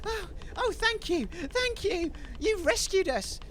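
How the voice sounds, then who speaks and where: A man playing an excitable, whiny, naive radio DJ in a comedy audio drama, melodramatic and fretful. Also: extremely high pitch, takes it slowly, slight background noise, exaggerated voice. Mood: desperate, excited.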